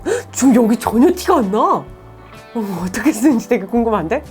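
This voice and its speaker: A woman teacher in her thirties with a fairly high, very lively voice talks warmly and encouragingly to her students. She chats casually and laughs easily while she reads their letters. Faint music plays underneath. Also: brisk. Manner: warm, chatty, encouraging, laughs often. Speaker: Korean language teacher. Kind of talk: advice segment, reading student letters.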